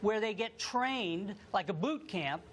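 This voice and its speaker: A man's voice: high voice, lively intonation, slow pace. Male American official as interview guest, careful explanatory delivery, noticeable background noise. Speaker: government lawyer interviewed as guest. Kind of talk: formal explanatory television interview.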